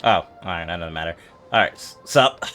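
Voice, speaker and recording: A man's voice: sits low, fairly quick, sharp tone, faint music behind. A man streaming a game, reacting casually and exclaiming, with repeated phrases and some swearing. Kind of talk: casual live gameplay reaction.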